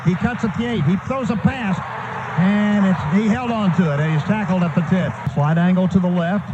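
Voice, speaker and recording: High-pitched American football radio announcer, man. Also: normal speed. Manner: clipped, urgent staccato phrasing. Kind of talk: live American football commentary. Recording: noisy, dull lo-fi radio broadcast.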